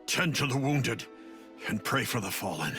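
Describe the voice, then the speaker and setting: Game role: stern princely commander, formal and resolute, widely varied intonation over background music. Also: male voice, mid-range voice, sing-song, moderate pace, pushed and bright. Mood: commanding. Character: noble, stoic warrior prince, commanding and stern. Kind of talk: video game voice acting.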